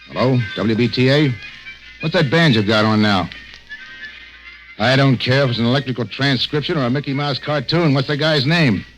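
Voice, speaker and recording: An actor playing a tough, streetwise police detective in a 1940s radio crime drama, clipped, commanding and slangy. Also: male voice, medium pitch, moderate pace, fairly bright tone, lightly acted, low-quality recording. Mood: businesslike, insistent. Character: tough, streetwise adult police detective, brusque but loyal. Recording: vintage 1940s radio recording with music behind.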